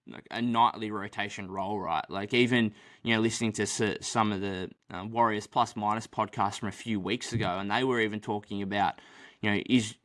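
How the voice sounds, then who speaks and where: A man's voice: on the low side, a bit bright. A young man co-hosting a casual sports podcast, rambling with many fillers and restarts, his voice dry this early in the morning. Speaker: podcast co-host.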